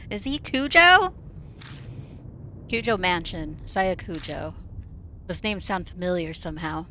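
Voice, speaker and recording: A woman's voice: fairly low pitch, expressive, slow pace, long pauses, lo-fi sound, slight background noise. A woman streamer reading a story game aloud into a desk microphone at home, chatting casually with lots of "like" and "um" fillers. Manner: casual, soft-spoken, full of "like" and "um" fillers.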